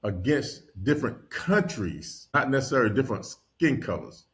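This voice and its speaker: A man's voice: mid-range voice, sing-song, normal speed, fairly bright tone. A man from North Carolina talks about his travels in easygoing conversation. He tells his stories with animation and breaks into laughter often. His voice sits in an ordinary middle range for a man. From North Carolina, USA. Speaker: podcast guest, world traveler. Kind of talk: casual conversation.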